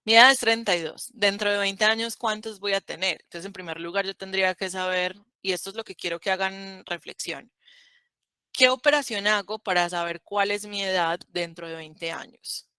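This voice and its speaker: A woman's voice: mid-range voice, steady tone, moderate pace, pushed and bright, clear, resonant tone, dry recording. Chatty woman teaching math. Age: 30s. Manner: conversational, checks with "¿cierto?". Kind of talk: online class lecture.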